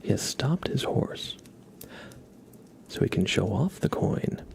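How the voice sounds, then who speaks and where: A man hosting an ASMR livestream, speaking gently and unhurriedly in a breathy whisper with some gravel in it. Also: on the low side, big pitch swings. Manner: soft-spoken, gentle, unhurried. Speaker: ASMR live streamer and host.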